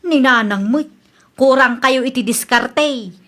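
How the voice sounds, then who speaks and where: An actress playing a brash, defiant, sassy housewife with an Ilocano accent in a radio comedy drama. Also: female voice, high voice, slow pace, pushed and bright, exaggerated voice. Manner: sassy, argumentative. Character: brash, defiant housewife who borrows without asking.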